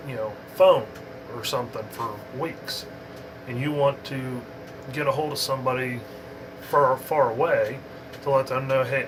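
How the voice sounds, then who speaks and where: A man giving a casual, unscripted explainer in a halting, rambling, informal way. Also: mid-range voice, background noise. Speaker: amateur radio hobbyist giving an introduction.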